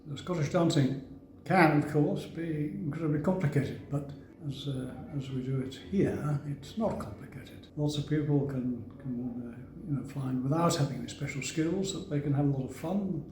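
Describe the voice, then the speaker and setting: Brief: man, warm low-key tone, hesitant interviewee, roomy sound. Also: moderate pace. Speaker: club member. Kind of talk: documentary interview.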